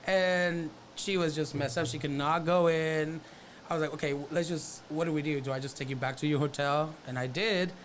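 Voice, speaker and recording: A man's voice: on the high side, steady tone, moderate pace. A man, a podcast co-host in playful casual chat. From Texas. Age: 20s. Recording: faint background noise.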